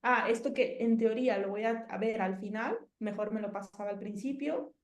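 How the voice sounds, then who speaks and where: A woman's voice: on the high side, moderate pace, echoey room. A Mexican woman in her thirties with a Mexican Spanish accent, chatting casually as an interviewee and rambling with many fillers and restarts. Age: 30s.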